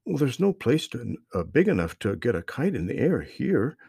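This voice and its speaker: A thoughtful, earnest young brother with a lifted, boyish voice, as a man reading a storybook puts him on. It stays husky and slightly gravelly, recorded dry and close. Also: medium pitch, very animated, normal speed, a little mellow, no echo, voice pushed up, exaggerated voice. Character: young boy Trey, thoughtful older brother.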